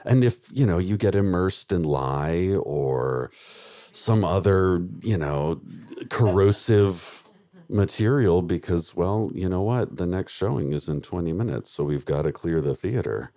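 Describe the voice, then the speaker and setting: A man's voice: low-pitched, a little slow. A middle-aged man delivering a darkly comic, macabre stage monologue in a musing manner into a handheld microphone. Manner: musing, deadpan macabre, chuckling to himself. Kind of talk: dark comedy stage monologue. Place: small dark theatre, live audience. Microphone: handheld microphone close to mouth.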